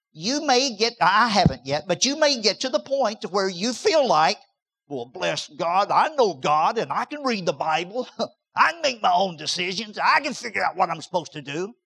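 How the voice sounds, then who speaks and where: Older preacher with a bright, high voice. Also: male voice. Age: 60s. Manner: emphatic preaching with repeated words and rising bursts. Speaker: pastor. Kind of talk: sermon.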